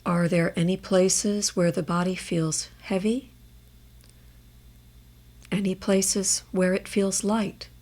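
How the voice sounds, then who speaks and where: A woman guiding a meditation in a measured, gentle way, leaving space between her phrases. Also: lowish voice, level tone. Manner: measured, gentle instruction with long pauses. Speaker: meditation guide. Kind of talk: guided body scan meditation.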